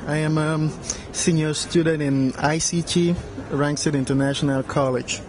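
A man's voice: on the high side, fairly even intonation, normal speed, noisy background. A young man from Cameroon, a university student, answering fluently with lots of "you know" into a handheld mic. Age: young adult. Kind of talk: casual interview answers. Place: large bright room at a game jam. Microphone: handheld mic held by interviewer.

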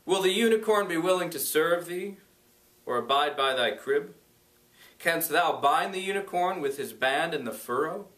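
A middle-aged man reading aloud from an inspirational book, deliberate and dramatic, with emphatic pauses. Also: fairly high pitch, fairly bright tone. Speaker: reader, blog creator. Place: small bright room indoors. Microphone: camera a few metres away. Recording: roomy echo, distant microphone.

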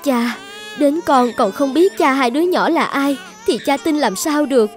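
A woman's voice: sits high, moderate pace, soft background music, lightly acted. An actress playing a wronged, sorrowful young daughter and mother, plaintive and lamenting, in fairy-tale dubbing. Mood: indignant, earnest.

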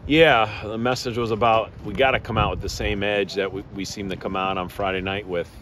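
A man in his fifties, a head baseball coach, answering postgame questions in a measured, plainspoken way with many fillers and restarts, outdoors at a ballpark at night. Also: on the low side, steady tone, a bit bright. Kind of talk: postgame media interview. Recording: outdoor background noise.

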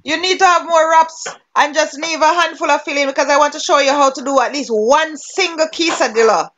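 A woman's voice: sits high, fairly quick, fairly bright tone. A woman with a Jamaican accent teaching a cooking class over a video call, giving step-by-step directions and laughing easily. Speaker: cooking instructor.

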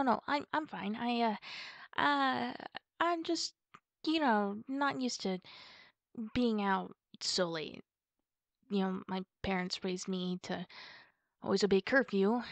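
A woman's voice: mid-range voice, no room sound. A woman playing a nervous, twitchy wolf girlfriend in an ASMR roleplay, stammering and hesitant as she hides something. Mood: anxious, flustered.

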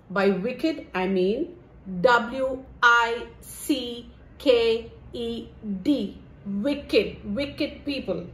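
A scheming, melodramatic stepmother, acted by a woman, speaks slowly in a high voice. She is greedy and theatrical, like an amateur comedy villain, in an echoey room. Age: middle-aged. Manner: theatrical, deliberate, complaining. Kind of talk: amateur comedy stage-style acting. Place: indoor home living room. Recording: echoey room with faint noise.

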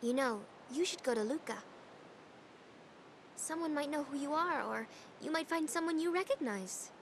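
A chirpy young guide. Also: female voice, high voice, animated, moderate pace, a little hiss or hum. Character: cheerful young woman guide.

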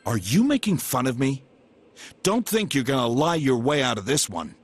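A man's voice: mid-range voice, very animated, normal speed, faint music behind, natural voice. An actor playing a stern, protective middle-aged detective father in a video game, firm and blunt, with an American accent. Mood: angry, demanding. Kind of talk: English video game voice acting.

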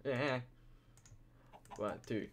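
A man's voice: medium pitch, very slow, a little hiss or hum. A young man just woken up, rambling casually and drowsily as he comments on his gameplay. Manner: rambling, drowsy, self-mocking. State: just woken up, deep morning voice.

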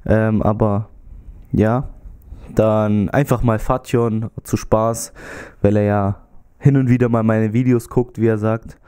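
A man's voice: on the low side, steady tone, slow, mellow, clean tone. A young man with a slight cold, chatting casually into a handheld mic in his small bedroom, rambling with lots of fillers. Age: young adult. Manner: rambling, many fillers, gestures while talking. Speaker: YouTuber. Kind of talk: casual vlog chat. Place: small bedroom with TV and gaming setup. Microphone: handheld mic held close.

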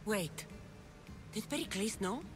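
A young female mercenary from an ancient Greek video game. She is direct and sceptical, with a lively, wide-ranging pitch and a guarded edge. Music is clearly heard behind the dialogue. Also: slow pace. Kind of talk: dramatic video game cutscene acting.

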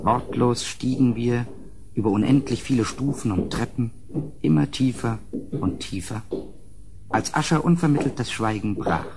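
An actor playing an uneasy gentleman who narrates a gothic radio play in a measured, ominous, slightly husky voice. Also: medium pitch, background noise, close to an everyday voice. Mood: somber, ominous.

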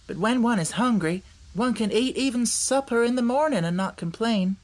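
A man's voice: very high voice, animated, moderate pace, pitched above the performer's own voice. A man voicing a cheerful, confident little girl with an earnest, matter-of-fact manner in a storybook. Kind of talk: audiobook character voice.

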